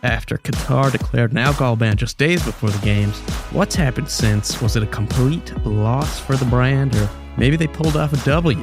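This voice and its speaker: A man's voice: mid-range voice, moderate pace, over music. A man hosting a business news podcast in an informal, playful, conversational way. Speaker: podcast host.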